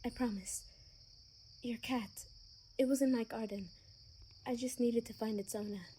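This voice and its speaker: A woman playing a gentle, sheltered young female vampire in an ASMR roleplay, speaking softly and hesitantly, at times in a breathy whisper. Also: a little high, fairly even intonation, normal speed, a little hiss or hum, lightly acted. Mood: wistful, cautious.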